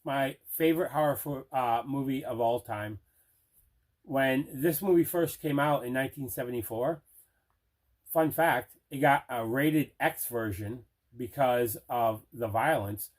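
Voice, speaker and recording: An enthusiastic man with a mid-pitched voice presents items from his collection. He talks conversationally, in the casual manner of an unboxing video. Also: a little slow. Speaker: movie collector, video host.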